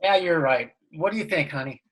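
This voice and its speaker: Boastful easygoing dad man acting, rough husky voice, sitcom script read. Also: on the high side, animated, fairly quick, rough recording. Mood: easygoing, proud. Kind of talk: comedic table read over video call.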